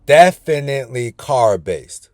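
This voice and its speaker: A man's voice: mid-range voice, lively intonation, takes it slowly, a little mellow, no echo, studio quality. A man coaching a weight-loss group on a video call from his car, talking casually and often calling the group "y'all". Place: inside his parked car.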